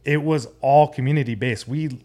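A man's voice: medium pitch, fairly even intonation, normal speed, a little mellow. An American man talks conversationally as a guest on a podcast. He has an ordinary mid-range voice and explains his views in an earnest way. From United States and Jordan.

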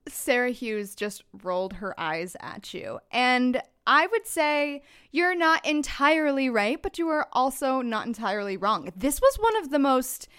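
A woman's voice: high voice, expressive, a little slow, few pauses, a bit bright, clear, resonant tone. A woman co-hosting a podcast, chatting in a bubbly, sassy way and telling stories. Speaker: podcast co-host. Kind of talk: casual chat.

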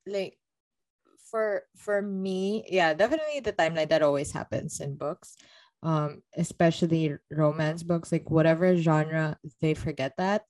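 Lower-pitched woman in casual book chat. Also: measured pace, a little mellow, dry recording. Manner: blunt, emphatic, laughs readily. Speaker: book podcast co-host.

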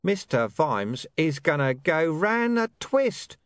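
A man voicing a scruffy, streetwise male talking dog with a high, brash voice. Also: sing-song, takes it slowly, clean tone, dry recording, clean studio sound. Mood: incredulous.

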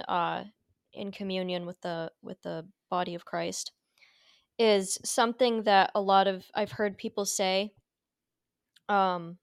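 A woman co-hosting a casual faith podcast, earnest and reflective, her voice a little hoarse and worn. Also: medium pitch, dry recording, clean studio sound. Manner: earnest, reflective, with frequent restarts and laughs. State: losing her voice, slightly hoarse. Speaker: podcast co-host.

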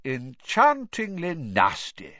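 A man voicing a courteous old male wizard headmaster with a dry wit, speaking in a measured way, in a dull, dry recording. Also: fairly high pitch, huge pitch swings, pushed and bright, dry recording, pitched above the performer's own voice, full character voice. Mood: courteous, wry.

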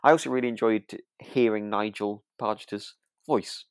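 A man with a low voice, recorded very dry with little room sound. He co-hosts a relaxed chat podcast. He talks with gentle enthusiasm, hesitating and repeating words as he goes. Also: normal speed, clean tone, dry recording. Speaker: podcast co-host. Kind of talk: casual chat about a radio drama.

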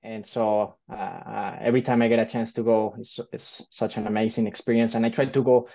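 A man's voice: fairly low pitch, fairly even intonation, a little fast, vocal fry, warm tone, dull audio. Hesitant man from Colombia on a call. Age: late 20s. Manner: hesitant, many fillers and restarts. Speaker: podcast guest. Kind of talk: casual storytelling interview. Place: remote call from home.

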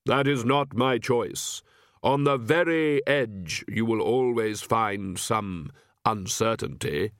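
A man voicing Death, a grave male spirit, speaking slowly and solemnly in an audiobook. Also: on the low side, no room sound, studio quality. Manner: slow, measured, solemn. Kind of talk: audiobook character voice.

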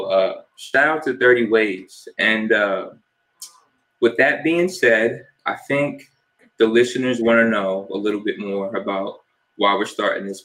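A young man from New Jersey co-hosting a casual podcast, relaxed and easygoing as he gives shout-outs and asks questions. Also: on the low side, poor audio. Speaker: podcast co-host.

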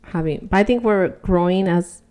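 A woman's voice: lowish voice, normal speed, a little mellow, clear, resonant tone. A woman in her early thirties co-hosting a podcast, chatting casually in an informal, conversational way. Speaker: podcast co-host. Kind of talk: casual personal chat.

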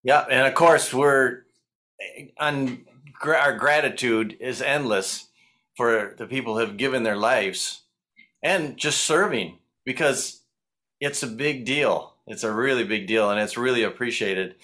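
A man's voice: medium pitch, a little slow, pushed and bright. A middle-aged man with a Michigan accent, a guest musician chatting loosely in an interview, rambling and chuckling. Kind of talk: casual interview chat.

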